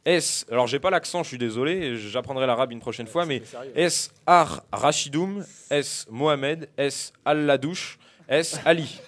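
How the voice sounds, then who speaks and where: A young man hosting a lively student radio quiz debate, playful and joking with apologetic asides. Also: medium pitch, measured pace. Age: young adult. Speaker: quiz host.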